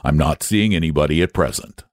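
A man voicing a lazy, gentle and cowardly male dragon who speaks in a languid, solemn drawl in a storybook. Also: rumbling low, brisk, no room sound, clean studio sound, pitched below the performer's own voice. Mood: dismayed.

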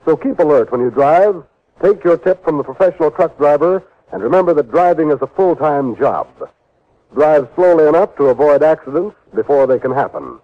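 An American man announcing on mid-century radio, reading a script in a measured, earnest, emphatic manner. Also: a little high, animated. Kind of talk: 1950s radio announcing, scripted public service message. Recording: dull vintage radio recording.

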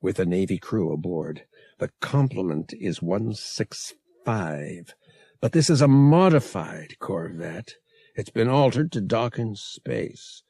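A man's voice: on the low side, mellow, dry recording, exaggerated voice. A man voicing a brash, stubborn young smuggler pilot with a blunt, defiant manner in an audiobook.

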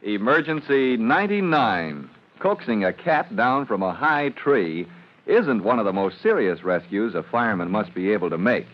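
A man's voice: lowish voice, very animated, moderate pace. A man announcing a vintage radio serial with scripted, dramatic and emphatic delivery. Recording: dull old radio recording.

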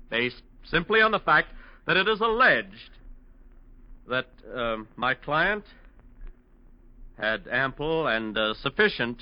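A troubled earnest lawyer in an old radio play, mid-pitched man, muffled sound. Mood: forceful, then faltering. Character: troubled male defense lawyer, conscience-stricken, resigned. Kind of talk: 1940s radio drama acting.

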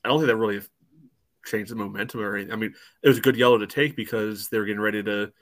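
Low-voiced man giving casual sports opinions. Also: steady tone, rapid-fire. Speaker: podcast co-host.

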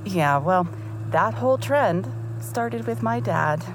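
A woman's voice: mid-range voice, expressive, takes it slowly, background noise. A woman playing a chatty, amused scam-debunking podcast host in an audio drama, talking casually. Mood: amused, intrigued.

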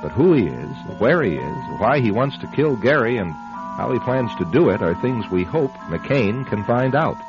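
A man hosting a radio mystery with a measured, suspenseful scripted introduction. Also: medium pitch, sing-song. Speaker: announcer and host. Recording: dull radio recording with music.

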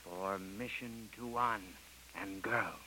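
An actor playing a mercenary, scheming native canoe guide in an old radio serial, speaking terse broken pidgin English on a hissy recording. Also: male voice, fairly low pitch, steady tone, extremely slow, sharp tone, full character voice, poor audio. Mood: conspiring. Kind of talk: 1930s radio serial acting.

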